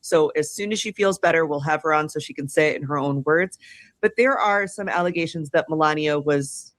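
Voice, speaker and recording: A woman hosting a podcast interview, speaking in a measured, guarded, conversational way. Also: low-pitched, dry recording. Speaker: podcast host.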